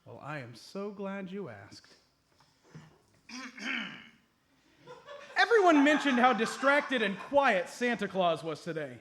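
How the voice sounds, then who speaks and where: Male actor as an eager amateur sleuth, high voice, swooping delivery, theatrical stage radio comedy. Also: huge pitch swings, slow, long pauses, a bit bright, slight background noise, exaggerated voice. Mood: smug explaining. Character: eager, self-assured male amateur detective saving Christmas. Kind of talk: live comedy radio play acting. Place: live on a comedy club stage.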